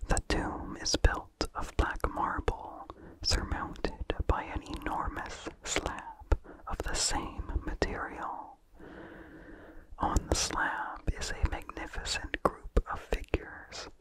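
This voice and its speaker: An ASMR reader delivers an old horror tale entirely in whispers. The reading is unhurried and measured, soft and breath-led from one phrase to the next. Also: poor audio. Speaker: ASMR narrator.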